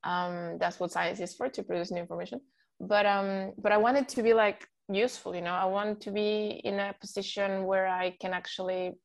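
Podcast guest: woman, 40s, Spanish native, relaxed and rambling. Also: mid-range voice, gravelly. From Mexico. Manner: chatty, many fillers and restarts. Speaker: guest, marine biologist. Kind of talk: casual interview answers.

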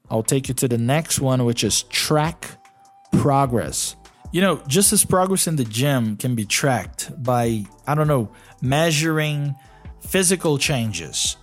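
A man from Brazil with a Brazilian Portuguese accent, hosting a solo podcast and coaching learners in a conversational way, with many "you know"s. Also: medium pitch. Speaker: podcast host and English teacher. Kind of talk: casual solo podcast talk.

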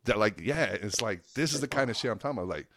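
A man's voice: lowish voice, expressive, fast, a crowd in the background. A man from the Reno area of the United States, a stand-up comedian chatting casually on a podcast in a rambling, earnest, slangy way with some swearing. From United States, Lake Tahoe and Reno area of Nevada. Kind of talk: casual podcast chat, anecdotal.

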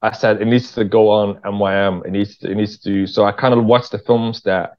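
A man's voice: on the low side, fairly even intonation, fairly quick. A British man telling stories as an interview guest in a casual, candid, slangy way. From England. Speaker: interview guest, writer-director. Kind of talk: casual conversational storytelling. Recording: dull, lo-fi voice recording.

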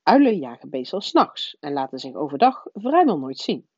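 A woman voicing a wry male television newsreader with measured presenter delivery, in a storybook reading. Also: on the high side, sing-song, darkish tone, slightly rough, no room sound, muffled recording. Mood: wry, announcing.

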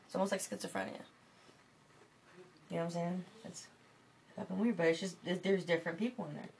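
A woman's voice: fairly low pitch, steady tone, a little fast, vocal fry, a little mellow, a little hiss or hum. A young woman from Michigan in casual late-night chat, rambling and swearing with lots of fillers. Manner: rambling, profane, filler-heavy. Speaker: vlogger. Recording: music playing behind.

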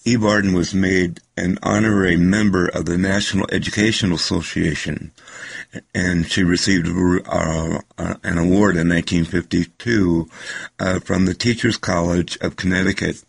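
A man hosting a podcast, giving an informal, halting introduction to an old radio show. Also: low voice, steady tone, vocal fry, breathy edge. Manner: halting, hesitant delivery. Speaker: podcast host.

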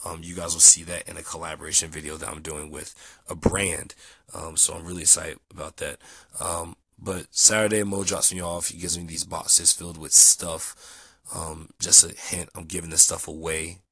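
Low husky young man, stuffy with a cold. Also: moderate pace, no room sound. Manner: relaxed, rambling with many ums. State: sick, nasal and dried out. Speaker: vlogger. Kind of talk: casual audio log.

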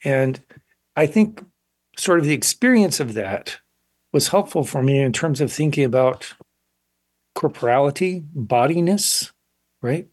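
A man in his sixties, an artist interviewed on radio, speaking in a thoughtful, halting way and often pausing to find words. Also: a little high, animated, a little mellow, husky. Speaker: painter, interview guest. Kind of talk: reflective interview talk.

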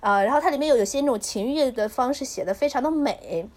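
A woman with a fairly high voice talks casually as a podcast co-host. She feels her way through her thoughts, repeating words and rephrasing as she discusses what she has read. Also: lively intonation, fast.

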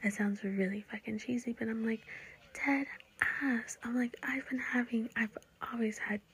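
Young female podcast host, breathy near-whisper, blunt, swears a lot, rambling and casual. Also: a little high, steady tone, normal speed. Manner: blunt, profane, rambling with many fillers. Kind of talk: casual solo podcast talk.

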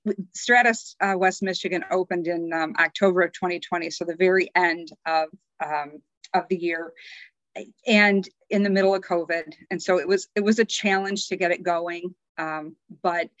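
Chatty woman with a low, muffled voice. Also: fairly bright tone, no room sound. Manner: conversational, many "um" pauses. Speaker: franchise owner, guest. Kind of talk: podcast interview.

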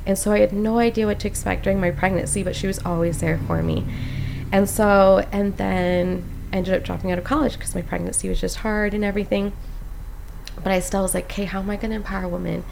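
A woman's voice: medium pitch, quick pace, creaky, a little hiss or hum, rough recording. A young woman from Costa Rica, a postpartum doula, telling her story in a casual chat, full of "like" and "um". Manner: animated storyteller, many "like"s and "um"s.

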